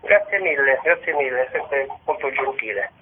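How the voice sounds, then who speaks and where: A man's voice: medium pitch, animated, normal speed, narrow, tinny audio, over loud music. A man, author and theatre director, talking hesitantly on the radio about staging his show. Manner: hesitant, searching for words. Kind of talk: radio interview.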